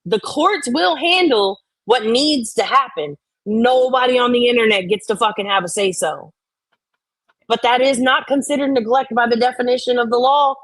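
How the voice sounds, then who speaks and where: A woman's voice: on the high side, normal speed, no room sound, poor audio. A woman co-hosting a casual chat, reading out and reacting to comments in a blunt, opinionated way with some swearing.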